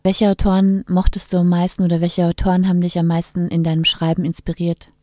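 A woman interviewer asks questions in a measured, slightly hesitant way. Her voice is low and mellow, with a fairly even tone. The recording is close and dry. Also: no echo.

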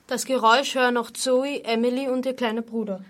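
A man's voice: very high-pitched, fairly even intonation, fairly quick, a bit bright. A boy narrating an amateur school radio play, reading from a script. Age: child. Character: storybook narrator of a burglary tale.

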